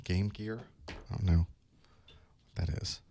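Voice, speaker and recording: A man with a hoarse voice from a cold, chatting casually to his live stream audience while he sets up for an online class. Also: sits low, fairly even intonation, unhurried, mellow, slight background noise. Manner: chatty, wry, talks to himself while setting up. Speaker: online course instructor. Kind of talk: casual pre-show chat to a live stream. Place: small studio desk.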